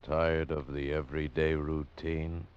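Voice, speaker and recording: Very deep, slow, ominous male announcer. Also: level tone, clear, resonant tone, no room sound. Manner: dramatic, measured, portentous. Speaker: radio announcer. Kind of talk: ominous 1940s radio drama introduction. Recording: dull old radio recording.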